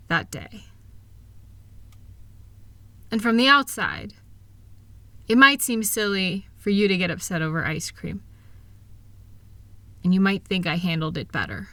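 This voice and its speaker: A kind, reassuring wife played by a woman speaks to her partner in an intimate audio roleplay. Her voice is clearly bright and sits at an average pitch for a woman. She is gentle, earnest and encouraging, in a very dry recording without room sound. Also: expressive, unhurried, pauses often, dry recording. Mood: understanding, reassuring. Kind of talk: intimate scripted roleplay audio, one-sided conversation.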